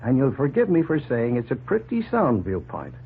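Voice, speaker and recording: An actor playing a plain-spoken, opinionated middle-aged farmer in a folksy, emphatic 1940s radio drama style. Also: male voice, mid-range voice, huge pitch swings, moderate pace, creaky. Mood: firm, explaining. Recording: noisy, tinny 1940s radio recording.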